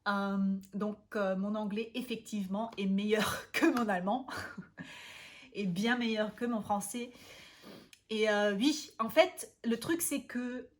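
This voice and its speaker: A young woman from Vienna whose first language is Austrian German, chatting casually in a vlog, halting and full of fillers, with frequent self-amused laughs and stray English words. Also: mid-range voice. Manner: laughs often at herself and slips into English words. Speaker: language vlogger.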